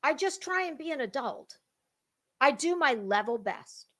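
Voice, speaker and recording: Woman, high voice, casual online commentary monologue, emphatic delivery, deliberate pauses, repeated words. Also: sing-song. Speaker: online drama commentator and livestream host. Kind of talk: casual dramatic monologue about online community gossip.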